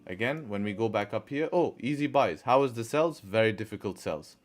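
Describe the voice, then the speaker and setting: Low-voiced man hosting a trading livestream. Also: animated, a little fast. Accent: mixed, partly Arabic-sounding. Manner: rhetorical, repeats questions and answers to drive a point. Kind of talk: live-stream chat and chart commentary.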